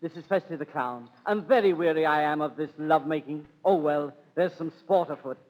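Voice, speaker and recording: A man's voice: on the high side, a little fast, lightly acted. A man playing a world-weary court jester, narrating in a wry, confiding way in 1930s radio drama style. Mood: amused, weary. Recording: dull, echoing 1930s radio recording.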